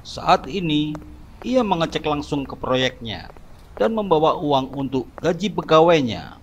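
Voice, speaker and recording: A man's voice: fairly high pitch, unhurried, dull audio, slight background noise. A man narrating a horror cartoon in a dramatic, scripted storytelling style. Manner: dramatic, expressive.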